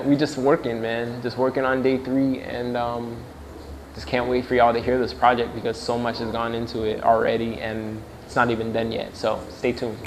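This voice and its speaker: A young man, a music producer, talking casually to the camera at a production workshop, with loose slangy speech that heats up when he gets excited. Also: medium pitch, a little hiss or hum, rough recording. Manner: laid-back slangy talk, gets fired up when excited. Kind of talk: casual vlog talk to camera.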